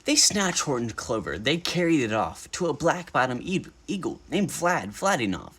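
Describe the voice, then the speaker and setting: Animated male bedtime story reader, slightly husky. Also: mid-range voice, normal speed.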